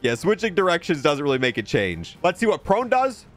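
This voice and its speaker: A man's voice: a little high, wildly swooping pitch, brisk. A man giving casual, chatty, opinionated commentary as the host of a gaming video. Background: music.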